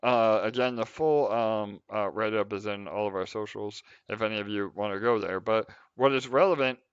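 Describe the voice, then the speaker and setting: A man's voice: lowish voice, steady tone, moderate pace, smooth, dry recording. A man hosting a casual livestream Q&A, talking with many filler sounds. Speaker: team lead and host. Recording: slightly dull, dry audio.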